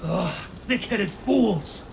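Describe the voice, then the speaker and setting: Casting: gruff Viking raider for a game, scornful and blunt, lively intonation, noisy surroundings. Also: male voice, high voice, takes it slowly, exaggerated voice. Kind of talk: video game voice acting.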